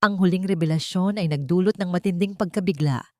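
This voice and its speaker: A woman's voice: lowish voice, brisk, warm tone, dry recording, studio quality. A woman reading a scripted, dramatic romance story as its narrator.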